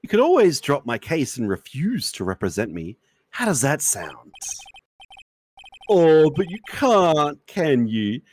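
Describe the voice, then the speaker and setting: A man's voice: fairly high pitch, very animated, slow, slight background noise. A man voicing a smug, manipulative celebrity killer with a theatrical, condescending, mock-sweet drawl in a game fan dub. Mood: mocking, menacing. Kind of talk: live fan dub of a visual novel game.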